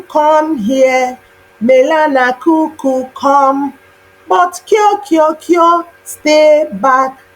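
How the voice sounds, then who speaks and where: A storybook parent played by a woman chants a coaxing call to the children. The voice is very high and sing-song, with slow, drawn-out repeated phrases. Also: low-quality recording.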